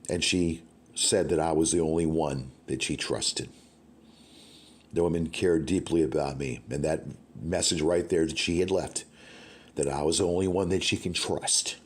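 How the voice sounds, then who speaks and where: A man with a deep voice addresses an audience in a threatening way. He speaks emphatically and circles back to repeat his warnings. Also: darkish tone.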